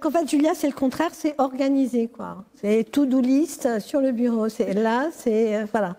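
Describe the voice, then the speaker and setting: A woman's voice: on the high side, moderate pace, warm tone, long reverb tail. A woman in her fifties talking casually in an interview, hesitant and trailing off with fillers. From Portugal. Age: 50s. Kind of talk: casual conversation.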